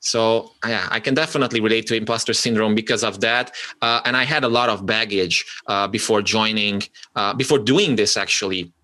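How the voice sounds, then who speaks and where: Bright-voiced Hungarian-speaking man from Romania. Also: on the low side. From Romania (Transylvania). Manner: halting, many restarts and fillers. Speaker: podcast guest, copywriter. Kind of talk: casual interview talk.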